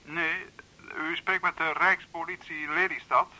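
An actor playing a formal, measured police officer bearing bad news in a radio drama, heard through a telephone. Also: male voice, on the high side, steady tone, sharp tone, rough recording. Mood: formal, grave.

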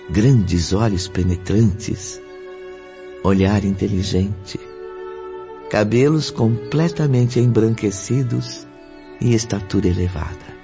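An actor playing a solemn storytelling narrator in a scripted radio drama, with a Brazilian accent. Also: male voice, fairly low pitch, mellow. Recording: muffled audio with music.

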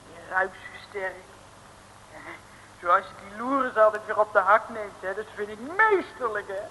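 A woman's voice: medium pitch, lively intonation, measured pace, sharp tone, a little hiss or hum, poor audio. An actress in a 1950s radio play playing a gushing young female detective sidekick who keeps tagging her sentences with a questioning "hè". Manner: chirpy, gushing, keeps adding "hè". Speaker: assistant detective.

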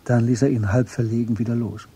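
Very dark-voiced male radio drama narrator. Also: mid-range voice, level tone, a little fast, a little hiss or hum.

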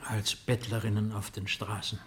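An actor in a 1950s German radio drama playing a composed, weary old ruler with a formal, measured, authoritative manner. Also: male voice, fairly low pitch, flat delivery, fairly bright tone, close to an everyday voice. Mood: anxious, probing.

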